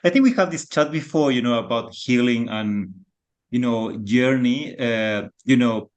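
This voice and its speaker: Mid-pitched Chilean man in his thirties, Spanish accent, soft and halting guest. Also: lo-fi sound. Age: 30s. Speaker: podcast guest. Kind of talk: casual reflective conversation.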